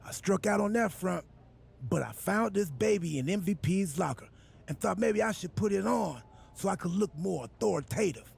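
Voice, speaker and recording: Video game role: quirky, self-important wrestling boss, husky and heavily gravelly, unbothered and rambling. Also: male voice, high voice, animated, moderate pace, a little mellow, full character voice. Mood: upbeat, self-important. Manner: rambling, absurdly literal, unbothered by insults. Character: quirky, oblivious wrestling general manager, cheerfully self-important man.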